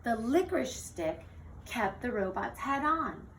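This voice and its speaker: A woman teacher reading a children's picture book aloud in an expressive, theatrical storytelling manner. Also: a little high, a little slow. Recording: distant mic with faint noise.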